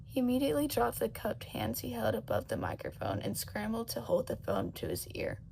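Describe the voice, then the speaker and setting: A woman reading a story as a narrator, in an expressive, dramatic scripted style. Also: a little high, brisk, creaky, a little hiss or hum, poor audio. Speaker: audiobook narrator of fan fiction.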